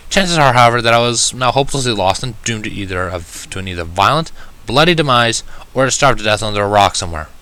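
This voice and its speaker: A man reads first-person fiction aloud. His voice sits a little low but has a bright, forward edge. The delivery is measured and wry, in the manner of an audiobook narrator. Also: lively intonation, low-quality recording. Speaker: fan fiction reader.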